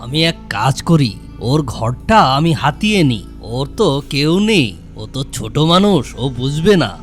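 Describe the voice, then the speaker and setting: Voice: medium pitch, sing-song, normal speed, soft background music, lightly acted. A performer voicing a greedy, scheming village man in a children's cartoon, with a sly, bossy manner.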